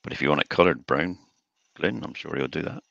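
A man's voice: rumbling low, animated, a little fast, vocal fry, a bit bright, dry recording, highs cut off. A man co-hosting a live stream, trading teasing, chatty banter and welcoming viewers. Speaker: co-host. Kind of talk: casual chat.

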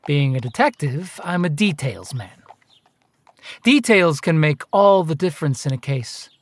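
An actor playing a self-righteous detective in a radio play, questioning in a clipped, insinuating way. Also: male voice, a little high, big pitch swings, a little slow, no echo. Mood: needling, accusing. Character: probing, self-righteous male detective, needling a priest. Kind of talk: radio drama acting.

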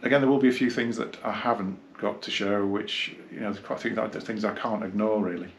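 A man gives a casual, unscripted hi-fi review, rambling and halting as he reminisces. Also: on the low side, steady tone, creaky, slight background noise. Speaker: hi-fi dealer and reviewer. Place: small carpeted indoor room, seated.

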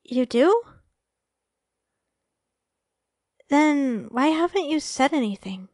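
Woman acting a high-voiced, sweet young girlfriend. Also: lively intonation, unhurried, no echo, clean studio sound. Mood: hesitant, earnest. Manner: soft, hesitant, affectionate, stammering when flustered. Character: sweet, shy, flustered young girlfriend on a date. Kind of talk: ASMR romantic audio roleplay.

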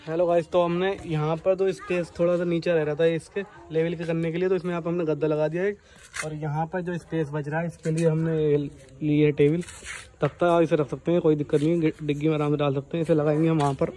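A man's voice: fairly high pitch, little pitch movement, fast talker, warm tone. A young man in his twenties, chatting step by step to viewers as he builds a bed in his car, recorded outdoors in a yard on a phone held at arm's length. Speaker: vlogger. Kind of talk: casual how-to vlog. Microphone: phone camera held at arm's length.

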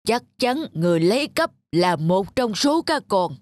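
An actor voicing a stern elderly male monk, a measured and authoritative temple master in a cartoon. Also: medium pitch, big pitch swings, dry recording. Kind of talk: animated fairy-tale dubbing.

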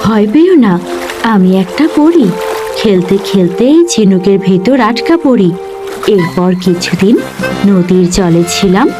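A woman voicing a kind, grateful young fairy in a children's cartoon, speaking gently in measured phrases. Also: mid-range voice, animated. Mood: gentle, grateful. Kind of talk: children's cartoon story dubbing. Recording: loud music behind the voice. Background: music.

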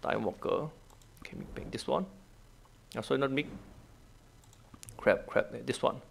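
A man who is not a native English speaker, giving a casual gameplay walkthrough in halting, repetitive short phrases. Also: mid-range voice, lively intonation, gravelly, slight background noise. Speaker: gaming guide host.

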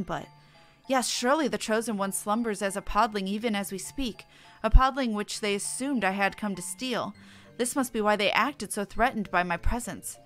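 A woman's voice: mid-range voice, natural voice. A woman voicing a pompous, feeble, bumbling male scholar from a fantasy game, with a wordy, theatrical, dithering delivery.

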